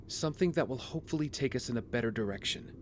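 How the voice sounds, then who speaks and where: A man acting a brooding, guilt-ridden young vigilante hero in an audio drama, speaking in an earnest, measured, confessional way. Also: mid-range voice, lively intonation, a little hiss or hum, lightly acted. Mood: serious, resolved. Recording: music bed under the voice.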